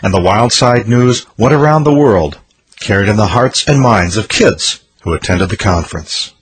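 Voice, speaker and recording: A man's voice: fairly low pitch, lively intonation, moderate pace, breathy edge. A man hosting a radio show, reading an earnest, promotional year-in-review script.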